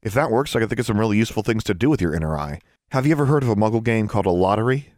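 Man as a sardonic teenage witch girl, lively pitch. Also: racing, no room sound, studio quality, lightly acted.

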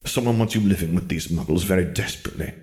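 A man's voice: low voice, fast, a little mellow. A man voicing a stern, disdainful wizard mentor, lecturing in a dry, precise way for a story reading.

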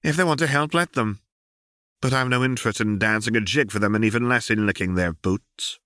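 A man's voice: lowish voice, brisk, no echo, clean studio sound, pitched above the performer's own voice. A man voicing a hot-tempered, contemptuous male crime baron in an audiobook. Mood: snarling. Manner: contemptuous, forceful.

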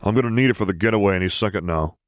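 A man voicing a cocky middle-aged smuggler with a gruff, sardonic, grumbling way of talking in an audiobook story. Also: fairly low pitch, rapid-fire, dry recording, studio quality, lightly acted.

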